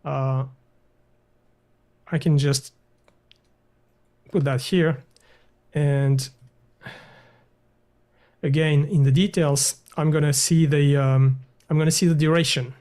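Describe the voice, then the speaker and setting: Man hesitantly walking through a software demo. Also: fairly high pitch, steady tone, slow, long pauses. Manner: hesitant, many fillers and restarts. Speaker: guest software developer. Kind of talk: screen-share software demo.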